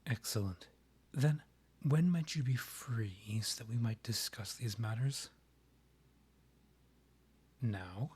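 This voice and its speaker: A man playing a courtly, lovestruck vampire king in a romantic ASMR roleplay, half-whispering in a breathy voice with formal, pleading phrasing. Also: mid-range voice, slow pace, pauses often, a little mellow. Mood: courtly, persuasive. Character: lovestruck male vampire king, courtly, yearning, tender suitor.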